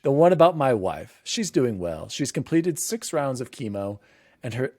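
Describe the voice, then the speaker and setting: A man hosting a casual gaming podcast, chatting with excitable, jokey emphasis. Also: medium pitch, moderate pace, no room sound, clean studio sound. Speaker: podcast host.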